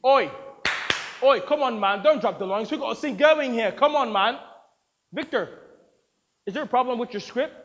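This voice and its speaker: A man voicing a brash, touchy actor who talks loudly and interrupts others. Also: very high-pitched, moderate pace, pauses often, in a large hall, slight background noise, voice pushed up. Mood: irritated. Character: brash British male actor, cocky and touchy.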